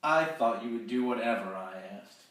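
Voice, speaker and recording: A man reading the part of a confident, seductive male lover in a romance, with a low, teasing, commanding delivery. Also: lively intonation, moderate pace, fairly bright tone, natural voice. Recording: roomy, distant, faint hiss.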